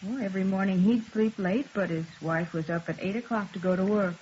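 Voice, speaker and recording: An actress playing a polite, chatty apartment manager in a radio crime drama, answering in a slightly hesitant, conversational way. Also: female voice, mid-range voice, fairly even intonation, a little fast, a little mellow, lightly acted. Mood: obliging. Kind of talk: 1950s radio drama acting. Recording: muffled old radio recording, faint noise.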